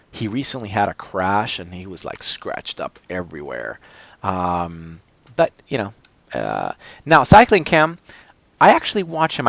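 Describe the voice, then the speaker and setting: A man's voice: fairly low pitch, gravelly, a bit bright, no echo. A man hosting a cycling channel, chatting casually and rambling with many fillers and restarts, in a voice he says people call boring. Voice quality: described as boring-sounding. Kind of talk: casual chat reviewing channels.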